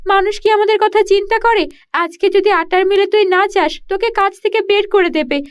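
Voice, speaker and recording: A woman's voice: very high-pitched, steady tone, normal speed. A woman voicing an earnest, kind-hearted young village girl in a children's cartoon, with emphatic delivery. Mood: earnest, chiding.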